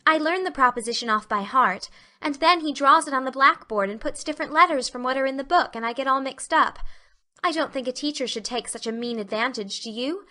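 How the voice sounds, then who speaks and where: Woman playing a bright-voiced, earnest schoolgirl. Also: high-pitched, a little fast, pitched above the performer's own voice. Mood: complaining. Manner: earnest, wordy, melodramatic. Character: earnest, dramatic, chatty young schoolgirl. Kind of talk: storybook character dialogue.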